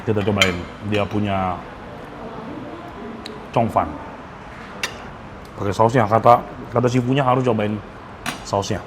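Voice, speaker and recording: A man's voice: fairly low pitch, fairly even intonation, unhurried, pauses often. A man gives a casual, enthusiastic food review to camera, often stretching words for emphasis. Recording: noticeable background ambience noise.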